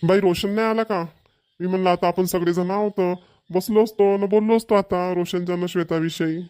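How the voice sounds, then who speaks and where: Village family man played by a male actor, Varhadi rural accent, slightly high voice, chatty, contented and emphatic, for a cartoon family comedy. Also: fairly even intonation, moderate pace, a little mellow, clear, resonant tone, natural voice. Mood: earnest. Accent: rural Maharashtrian, Varhadi.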